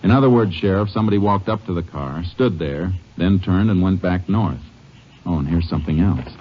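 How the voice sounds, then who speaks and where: An American man acting in a 1950s western radio drama as a calm, methodical Texas Ranger, clipped and matter-of-fact in his delivery. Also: low-pitched, fairly quick, husky. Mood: methodical, observant. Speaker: actor in the lead role, also narrating. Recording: dull, lo-fi 1950s radio recording.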